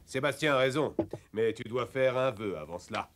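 An actor playing a kindly, reassuring gentleman in a French cartoon dub, speaking in a measured, explanatory way. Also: medium pitch, expressive, a little hiss or hum.

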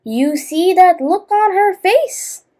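A woman's voice: very high-pitched, animated, slow pace, dark tone, voice pushed up, full character voice. A woman voicing a clever, bold hare king from a children's fable, giving solemn warnings with emphasis. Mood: urgent, sly.

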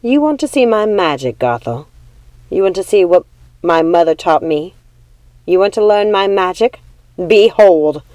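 A woman's voice: lowish voice, animated, warm tone, no echo. Woman doing a wrathful old witch mom, scornful, bossy, storybook villain. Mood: commanding menace. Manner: mocking, drawn-out, commanding.

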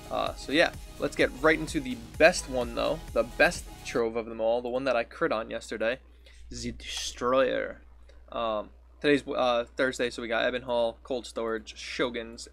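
Young guy gaming streamer, deep raspy morning voice, rambly, faint music under it. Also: a bit bright. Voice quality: deep and raspy after waking. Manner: rambling, self-correcting, friendly. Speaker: game streamer. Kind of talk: casual gaming vlog talk. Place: small home room. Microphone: studio mic on boom with pop filter, headphones.

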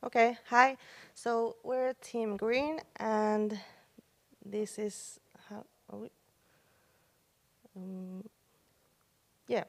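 A woman in her twenties from Finland giving a student presentation into a handheld mic in a large meeting room, halting with fillers. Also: mid-range voice, flat delivery, creaky. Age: 20s. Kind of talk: reading a class presentation.

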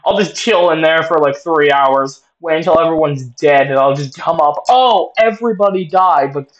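A man's voice: on the high side, moderate pace, lo-fi sound. A man giving casual, joking reaction commentary, swearing now and then and reading on-screen text aloud. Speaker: reaction video host.

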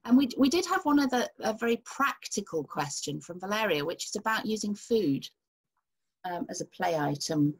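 Husky woman chairing an online seminar. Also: medium pitch, sing-song, normal speed, long pauses, low-quality recording. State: losing her voice. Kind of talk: relaying audience questions.

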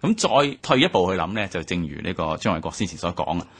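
A man's voice: deep voice, sing-song, fast talker, breathy edge. A man from Hong Kong who hosts a radio history discussion, speaking with a Hong Kong Cantonese accent and often answering his guest with short agreeing sounds. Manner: frequent brief agreeing backchannels. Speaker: radio host.

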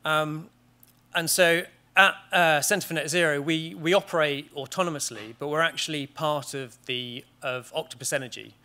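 A British man in his thirties speaks from a conference stage in a large panelled hall. His voice is bright and clear, and he talks at a measured pace, often pausing on "um" and restarting his sentences. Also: a little high, fairly even intonation. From Great Britain. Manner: measured, with frequent ums and restarts. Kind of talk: conference presentation. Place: large wood-panelled auditorium.

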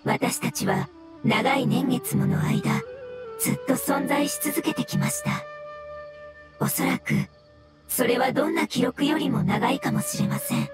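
Game creature: ancient floating mushroom being, high voice, formal and scholarly, expressive melody, music behind. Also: female voice, measured pace, full character voice. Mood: wistful. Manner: formal, courteous, explanatory. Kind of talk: Japanese game voice acting, measured dialogue.

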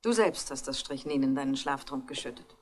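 A woman's voice: very deep voice, lively intonation, brisk. A woman playing a scornful married woman in a 1960s radio crime drama, cutting and accusatory. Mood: accusing. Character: scornful, sharp-tongued married woman accusing her family.

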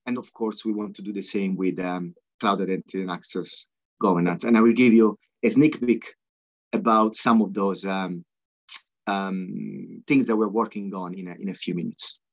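A man as guest expert presenting software on a webinar, fluent but peppered with ums and uhs. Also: low-pitched, fairly even intonation, moderate pace. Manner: fluent but full of ums and uhs, non-native phrasing. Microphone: video call. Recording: slightly dull video call audio.

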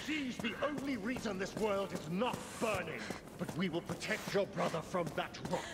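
A woman playing a menacing, fanatical hunter in a video game, taunting her prey with deliberate delivery. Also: mid-range voice, lively intonation, natural voice. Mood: insistent, threatening. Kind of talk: dramatic video game villain acting. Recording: very loud game noise behind voice.

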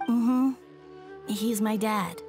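A woman voicing a quiet, hesitant young boy in a fantasy video game. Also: on the high side, extremely slow. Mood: shy. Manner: soft-spoken, hesitant. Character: quiet, shy young boy, the author's son. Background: game background music.

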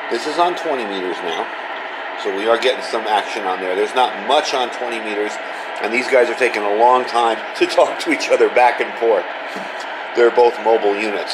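A man's voice: mid-range voice, noisy background. A man giving a casual hands-on product review in a conversational, enthusiastic way. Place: indoor workshop room at a desk.

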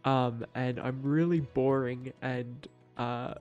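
A man's voice: mid-range voice, fairly even intonation, takes it slowly, a little mellow, highs cut off, faint music behind. A young man, sounding a little nasal from a cold, casually narrating his building video with lots of fillers and restarts. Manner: rambling, many fillers and restarts. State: stuffy nose from a cold. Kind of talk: casual voice-over commentary.

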